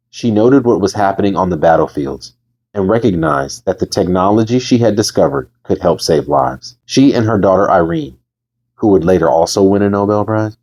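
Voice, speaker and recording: A man reading a book aloud as host, casually and emphatically, with informal chatty asides and a husky voice. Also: low-pitched, mellow. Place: bedroom. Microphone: new microphone.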